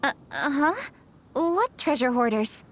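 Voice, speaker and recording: A woman's voice: high voice, expressive, a little slow, very noisy. A woman voicing a diligent, polite young female official in a video game, earnest and composed. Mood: flustered. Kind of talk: video game cutscene acting.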